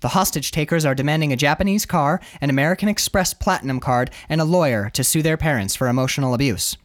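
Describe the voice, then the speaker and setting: A man voicing a serious female television news reporter from a comic book, in a measured, formal delivery. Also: on the high side, natural voice.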